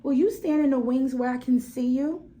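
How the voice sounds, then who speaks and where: A woman's voice: sits high, moderate pace, warm tone, lightly acted. A woman voicing an eager, anxious little girl dancer in a storybook, with a plaintive, questioning manner.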